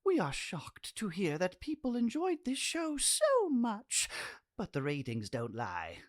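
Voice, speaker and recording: A woman's voice: mid-range voice, huge pitch swings, a little slow. A woman voicing a tiny, emotional, shriek-prone taxidermist who presents announcements in a comedy sketch. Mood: enthusiastic announcing. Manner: theatrical, prone to shrieking and weeping.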